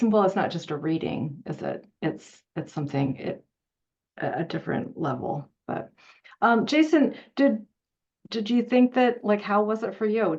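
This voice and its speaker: A woman moderating a casual interview, agreeable and a little halting, heard through a dull lo-fi microphone. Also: medium pitch, darkish tone. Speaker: interview host.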